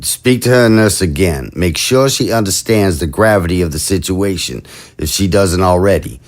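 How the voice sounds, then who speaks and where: An actor playing a president who gives brisk, commanding, understated orders. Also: male voice, low-pitched, lively intonation. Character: steady, decisive male president.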